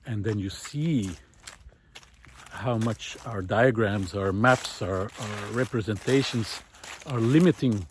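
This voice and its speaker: A man giving an unscripted, reflective monologue while out walking, thoughtful and hesitant, pausing often as he finds his words. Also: lowish voice, a little hiss or hum. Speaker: host of a walking audio diary, former teacher. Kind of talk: unscripted reflective spoken monologue recorded on a walk. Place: outdoors, walking.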